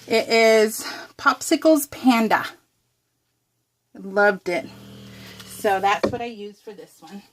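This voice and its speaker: A woman's voice: medium pitch, lively intonation, slow, long pauses, a little hiss or hum. A woman vlogger chatting casually through a craft project as she works, giggly and self-deprecating, rambling from one thought to the next. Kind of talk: casual chatty craft tutorial, narrated in real time.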